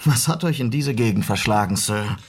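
Ein Schauspieler spielt im deutschen Hörspiel einen höflichen, wissbegierigen Reisenden im Wilden Westen. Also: male voice, lowish voice, animated, normal speed, slightly rough. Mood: courteous, curious. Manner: clipped journal narration, probing questions. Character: courteous, inquisitive male traveller riding the Old West. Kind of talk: German radio drama acting.

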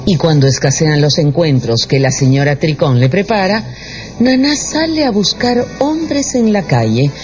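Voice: mid-range voice, lively intonation, unhurried, a little mellow. A narrator reading a radio novel in a dramatic, scripted storytelling style. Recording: dull radio sound with music behind.

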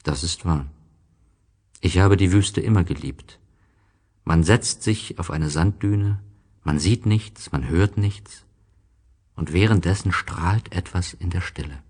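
A man narrating a literary story from a script in a measured, reflective way. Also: low-pitched, a little mellow.

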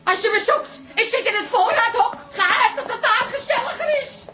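Very high-pitched scolding mother. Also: brisk. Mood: exasperated. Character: fed-up, scolding mother of a quarrelling family. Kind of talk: Dutch comic radio drama acting.

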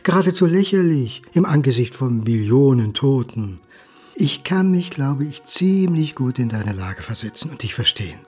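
A lonely failed son in a radio drama. His voice is very husky and unhurried, brooding and halting, with sardonic self-mockery. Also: medium pitch, a little mellow. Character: lonely, bitter failed son, drinking, self-mocking courier. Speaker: actor in a radio play. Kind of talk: intimate monologue radio drama.

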